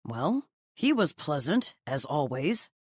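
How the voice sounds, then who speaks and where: A woman's voice: sits low, sing-song, slow pace, a little mellow, breathy edge, no echo, full character voice. A woman voicing an easygoing male office colleague in a romance audiobook, with a dry, knowing manner. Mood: wry.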